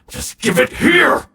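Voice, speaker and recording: A man playing a smug, scheming, excitable villain in a video game, theatrical and taunting, with a gravelly voice. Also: very high-pitched, exaggerated voice. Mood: outraged. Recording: echoey, slightly distant, music behind.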